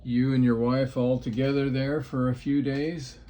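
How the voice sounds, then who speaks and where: A man in his fifties with a grandchild, chatting in a relaxed, friendly way on a ham radio call. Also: mid-range voice, normal speed. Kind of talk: casual radio chat.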